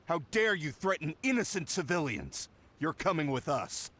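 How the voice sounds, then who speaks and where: An actor playing a stern, commanding male city guard in an anime-style video game, with a rough voice. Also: on the high side, sing-song, normal speed, pushed and bright, full character voice.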